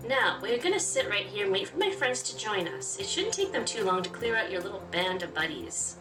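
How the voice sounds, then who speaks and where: A woman's voice: mid-range voice, lively intonation, fairly quick. A chatty, reactive woman reading a game's story aloud and commenting as she plays. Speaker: game player reading aloud. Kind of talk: let's play commentary with expressive reading of story text. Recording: roomy, distant, music behind. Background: game music.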